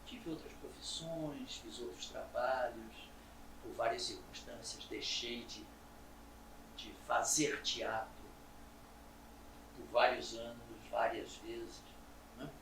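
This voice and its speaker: An older man from Salvador, a stage actor telling his story in an interview in a measured, reflective way. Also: medium pitch, background noise. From Brazil, Salvador. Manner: measured, reflective, with frequent pauses and "né?". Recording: roomy echo, distant mic, audible ambience.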